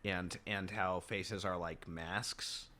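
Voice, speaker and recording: A man hosting a podcast film discussion, asking measured, thoughtful questions. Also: low-pitched, steady tone, sharp tone, a little hiss or hum.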